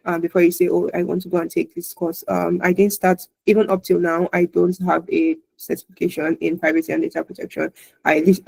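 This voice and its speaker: A woman's voice: fairly low pitch, creaky, mellow, breathy edge, no echo, low-quality recording. A woman hosting an interview podcast, agreeable and encouraging, often nodding along with short replies. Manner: agreeable, encouraging, with frequent backchannels. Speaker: podcast host. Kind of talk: conversational interview.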